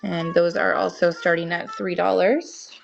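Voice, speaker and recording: A woman's voice: on the low side, little pitch movement, measured pace, gravelly. A young woman with a cold and a tired voice, chatting casually as she runs a live auction and greets viewers by name. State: has a cold, voice wearing thin. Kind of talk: live-stream selling chat. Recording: dull lo-fi stream with faint music.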